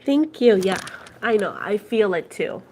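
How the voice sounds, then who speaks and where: A woman's voice: medium pitch, expressive, a little slow, dark tone, slight background noise. A woman with a Puerto Rican accent hosting a casual tarot livestream, chatting with viewers and switching between Spanish and English. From Puerto Rico. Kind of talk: casual livestream chat reading viewer comments.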